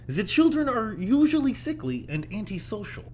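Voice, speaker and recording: A man's voice: high voice, sing-song, moderate pace, a little mellow. A man playing a genial little old man who loves the paranormal, speaking in an erudite, musing, theatrical way in an audio drama. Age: older.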